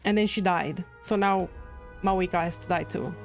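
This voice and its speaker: A woman's voice: fairly low pitch, steady tone, normal speed. A woman livestreaming a game, giving casual, chatty commentary as she thinks aloud. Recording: game music behind.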